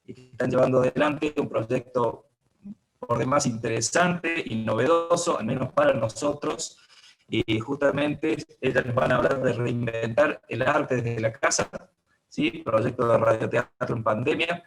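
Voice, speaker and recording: A man's voice: medium pitch, measured pace, soft background music, poor audio. A man with an Argentine accent, acting as host and giving an informal welcome to guests.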